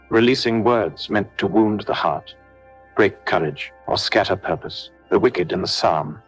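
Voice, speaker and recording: A man delivering a scripted devotional prayer in a solemn, declarative way with measured phrasing. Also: low-pitched. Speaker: prayer leader. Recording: music behind the voice. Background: background music.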